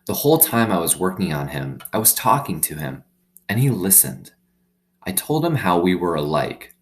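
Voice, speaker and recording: A man reading a children's story aloud like a teacher, pausing to explain simply and check in with little questions. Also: deep voice, sing-song. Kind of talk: read-aloud with explanatory asides.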